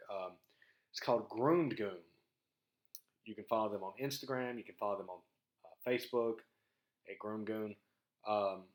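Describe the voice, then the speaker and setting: A man from rural Mississippi with a Southern accent, talking casually to viewers in a laid-back, halting, folksy way as a vlog host. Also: lowish voice. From rural Mississippi, southern United States.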